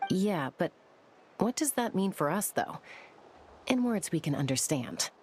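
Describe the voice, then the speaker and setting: A woman's voice: on the low side, big pitch swings, unhurried, a little mellow, slight background noise. A woman voicing a practical, questioning adventurer in a video game, speaking in a direct, plain-spoken way. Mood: concerned.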